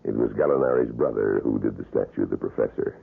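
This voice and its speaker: A grim wax museum guide in an old radio drama, unfolding events in a measured, ominous way. His voice is extremely deep, husky and gravelly. It sounds thin and phone-like, like a lo-fi broadcast. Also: full character voice. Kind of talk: 1970s radio drama acting.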